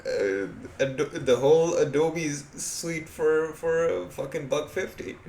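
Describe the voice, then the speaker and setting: A man's voice: fairly high pitch, takes it slowly, crowd noise. A man from Pakistan co-hosting a casual podcast, explaining things in a blunt way with plenty of swearing. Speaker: podcast co-host. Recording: echoey room with background noise.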